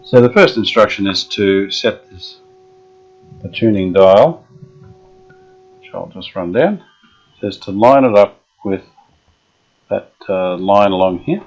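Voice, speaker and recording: A man restoring an old radio, thinking aloud in a halting, casual way with many pauses and fillers. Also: lowish voice, expressive, lo-fi sound. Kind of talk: hands-on workshop demonstration.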